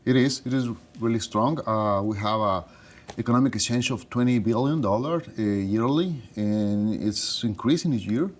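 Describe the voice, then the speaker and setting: Halting low-voiced man from Mexico. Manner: halting, with many fillers and restarts. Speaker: studio guest, consular official. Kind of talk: interview answers.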